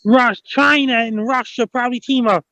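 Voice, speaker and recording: A man's voice: very high-pitched, steady tone, normal speed, bright, forceful tone, dry recording. A man giving news-like commentary as a show guest, through a dull lo-fi recording.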